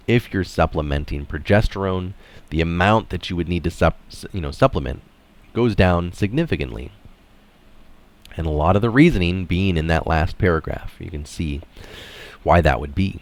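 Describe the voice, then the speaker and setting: A man reading an article aloud and adding informal, conversational comments of his own. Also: low voice. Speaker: reader and commentator.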